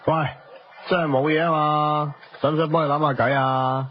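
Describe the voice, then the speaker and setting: An actor playing a grumbling, wisecracking pal in a radio drama, exclamatory and theatrical, with a Hong Kong Cantonese accent. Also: male voice, on the high side, little pitch movement, normal speed, a little hiss or hum, natural voice. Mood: teasing concern. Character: grumbling, wisecracking male pal, a broke gambler. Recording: dull lo-fi radio audio with music.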